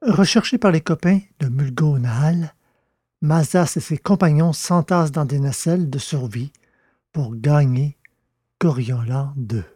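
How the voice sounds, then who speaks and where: A man's voice: fairly high pitch, unhurried, warm tone, breathy edge. A man presenting a podcast and narrating a science fiction serial in carefully phrased, literary read speech. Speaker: podcast host and audiobook narrator.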